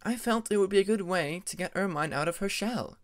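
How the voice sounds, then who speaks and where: A man voicing a friendly, gently teasing young female sea dragon in an audiobook, with a light, animated delivery. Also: high voice, a little fast, voice pushed up, natural voice. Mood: gentle, friendly.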